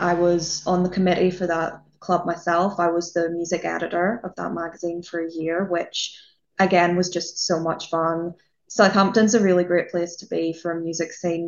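A young woman with a Northern Irish accent, a music journalist chatting casually as an interview guest, talking freely with restarts and fillers. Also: fairly low pitch. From Northern Ireland. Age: young adult. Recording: lo-fi dull audio.

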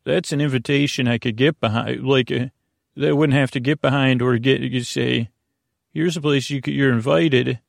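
A man hosting a bedtime podcast in a creaky, soothing voice, meandering hesitantly through tangents and interrupting himself. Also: mid-range voice, fairly even intonation, normal speed, dry recording, studio quality. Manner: soothing, lulling. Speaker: podcast host. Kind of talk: rambling bedtime monologue meant to lull to sleep.

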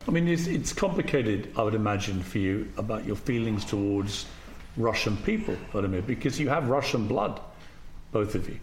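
A man's voice: lowish voice, animated, normal speed, slight background noise. A man hosting an interview, asking probing questions in a conversational way. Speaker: interview host. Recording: roomy echo.

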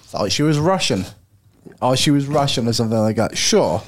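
Male co-host, animated and jokey, very lively pitch. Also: moderate pace. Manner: lively, laughs a lot, jokey. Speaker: podcast co-host. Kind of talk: casual sports betting chat.

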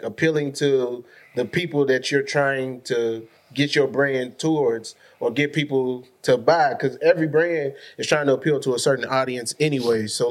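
A man co-hosting a casual podcast chat, ribbing his partner and reasoning through a point with repeated questions. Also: mid-range voice. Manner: teasing, then laying out points as a string of questions. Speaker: podcast co-host.

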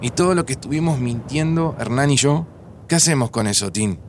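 A man's voice: mid-range voice, animated, a little fast, background noise, lightly acted. An actor playing an earnest, insecure middle-aged man with a Rioplatense Argentine accent, speaking hesitantly in a naturalistic audio drama. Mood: guilty, anxious.

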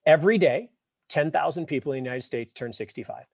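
A man in his fifties, a podcast guest, telling wry stories and explaining ideas with emphatic, animated delivery. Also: on the high side, fast talker, vocal fry, breathy edge, no room sound, studio quality. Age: 50s. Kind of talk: casual interview talk.

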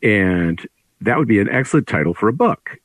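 Deep, husky man. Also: big pitch swings, normal speed, no echo. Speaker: author, guest. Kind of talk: conversational storytelling about his work.

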